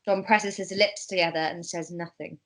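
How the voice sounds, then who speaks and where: A woman's voice: lowish voice, steady tone, normal speed, fairly bright tone, poor audio. A woman reading scripted narration and stage directions in an amateur table read.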